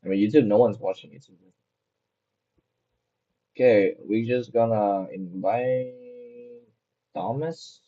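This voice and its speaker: A man hosting a casual livestream who chats loosely and is quick to apologize. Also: on the low side, dull audio. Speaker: broadcast host.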